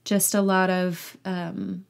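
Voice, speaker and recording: A woman's voice: medium pitch, little pitch movement, slow pace, gravelly. A young adult woman chatting casually as an interview guest about her acting work.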